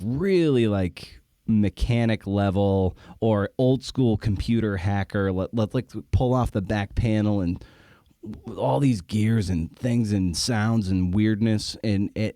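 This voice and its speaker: A man with a mellow, slightly low voice, recorded close and dry. He talks like a podcast host in casual conversation, rambling as he thinks aloud and doubling back on words for emphasis. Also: no room sound.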